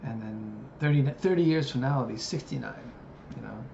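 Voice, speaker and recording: A man's voice: medium pitch, normal speed, creaky, darkish tone. Musing man in his thirties, lo-fi. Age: 30s. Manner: musing, hesitant, many fillers. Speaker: vlogger. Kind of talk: reflective personal monologue. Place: living in Japan. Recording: dull lo-fi audio with background noise.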